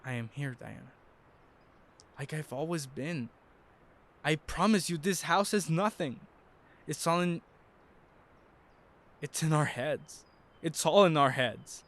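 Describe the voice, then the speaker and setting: Casting: earnest, hesitant young husband type played by a man, fairly high voice, audio drama. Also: slow, long pauses, a bit bright, slight background noise. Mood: reassuring. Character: John, devoted young husband, also shy teenage student.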